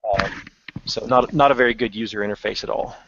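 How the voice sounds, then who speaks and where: A man leading an internal software demo in casual meeting talk, informal and given to joking. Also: on the low side, fairly quick, muffled recording, noisy background.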